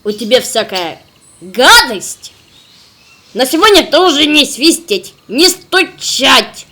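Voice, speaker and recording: A woman's voice: sits high, pushed and bright, slight background noise, exaggerated voice. A woman voicing a fussy cartoon character who preaches good manners in an emphatic, admonishing way. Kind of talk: children's cartoon acting.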